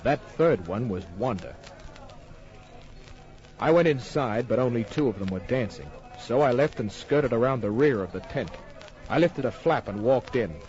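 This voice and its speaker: Male actor as hardboiled private eye, mid-pitched, clipped deadpan narration, wry, dull vintage radio sound. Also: moderate pace, faint music behind, natural voice. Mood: wry narrating. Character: tough, wry hardboiled private detective telling his case. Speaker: lead narrator. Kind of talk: old-time radio detective narration. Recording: dull old-time radio recording.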